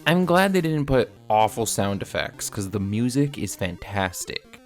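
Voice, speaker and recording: A man's voice: on the low side, sing-song, unhurried, loud music behind the voice. A man narrating a scripted video review in a casual, opinionated manner. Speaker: film reviewer.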